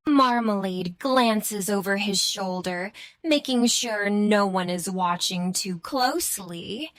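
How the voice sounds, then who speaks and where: A woman's voice: medium pitch, slow, a bit bright, no echo, studio quality. A woman reading scripted narration for a children's bedtime story.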